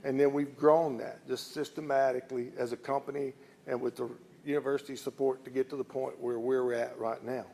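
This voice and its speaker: Folksy Southern man, gravelly edge. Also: mid-range voice, flat delivery, a little fast, a little mellow, slight background noise. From southern United States (Alabama and Louisiana). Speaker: company executive speaking at an announcement. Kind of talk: informal public speech.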